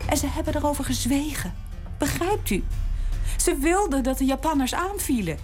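An actress playing a dutiful princess daughter in a radio drama, speaking gently and deferentially. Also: female voice, high-pitched, animated, normal speed, soft background music. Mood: earnest.

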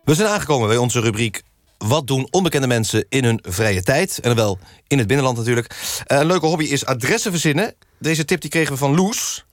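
A man's voice: medium pitch, lively intonation, fairly quick, dry recording, natural voice. A man playing an earnest, patient radio magazine presenter, delivering mock-serious news and interviews.

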